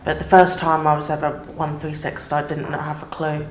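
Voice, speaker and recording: A woman describing her experiences in an interview, quiet and subdued. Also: low-pitched, flat delivery, moderate pace, echoey room, a little hiss or hum. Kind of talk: interview testimony.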